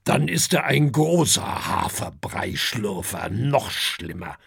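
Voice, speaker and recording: Narrator doing a big grumpy dragon dad, hoarse n gravelly, growly, greedy, draws words out, storybook style. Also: deep voice, big pitch swings, a bit bright, no echo, clean studio sound, heavily acted. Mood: grumbling. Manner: growling, drawn-out, blustering. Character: gluttonous, grumpy big male dragon father.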